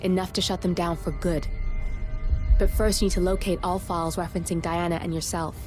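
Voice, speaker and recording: A composed, matter-of-fact hacker played by a woman in a video game, giving a briefing. Her voice sits a little low, with music clearly behind it. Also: flat delivery, fairly quick, natural voice. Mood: serious. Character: composed female hacker ally guiding an assassin.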